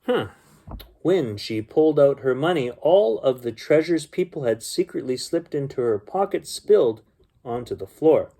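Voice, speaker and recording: A man with a soft-edged, mellow tone reads a bedtime story for children. His delivery is gentle and unpolished, moving between storybook reading and informal talk to his young listeners. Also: mid-range voice, lively intonation, moderate pace. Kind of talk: storybook read-aloud with casual asides.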